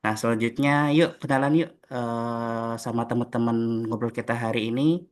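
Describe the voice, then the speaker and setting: A young man from Jakarta runs a relaxed podcast chat with colleagues. His voice sits at an ordinary middle pitch, and he speaks in a friendly way, with filler pauses between questions. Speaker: podcast host. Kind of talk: casual group podcast chat.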